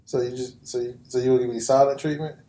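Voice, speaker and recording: A man's voice: mid-range voice, little pitch movement, fast, dark tone. A man hosting a podcast, chatting casually and teasingly with lots of fillers, with faint music behind. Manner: laid-back, teasing, many fillers like "like". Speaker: podcast host. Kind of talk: casual podcast chat and show intro.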